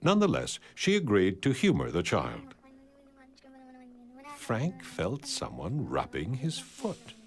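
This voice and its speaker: A man narrating an animated storybook tale in a wry, deadpan, scripted storyteller's manner. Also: on the low side, slow pace, long pauses. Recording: music behind the voice. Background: music.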